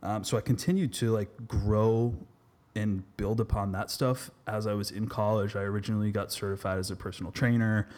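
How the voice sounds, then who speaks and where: A young man with a fairly low voice, from Massachusetts, talking as a podcast guest. He is loose and conversational, leaning on fillers as he tells his story. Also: a little fast. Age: 20s. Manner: relaxed, chatty, many fillers like "kinda" and "um". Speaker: podcast guest, physical therapy student. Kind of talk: casual podcast interview.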